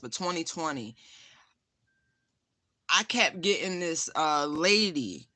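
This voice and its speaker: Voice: mid-range voice, bright, forceful tone, no echo. A tarot reader giving a casual spiritual reading, frank and profane, laughing often and repeating phrases for emphasis.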